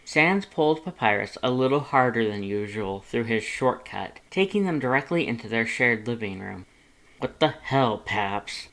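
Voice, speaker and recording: Male narrator, medium pitch, scripted story reading, fan fiction audiobook style. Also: animated, normal speed, vocal fry, few pauses, a bit bright.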